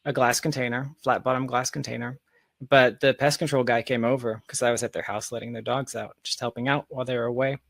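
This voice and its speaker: A man chatting casually as a podcast guest, telling anecdotes in an animated manner over a close mic. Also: mid-range voice, fast, creaky, no room sound.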